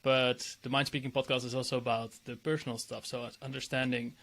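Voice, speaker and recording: Podcast host guy, middle pitch, upbeat and chatty, laughs a lot. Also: little pitch movement, a little fast, fairly bright tone. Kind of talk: casual interview with a scripted intro.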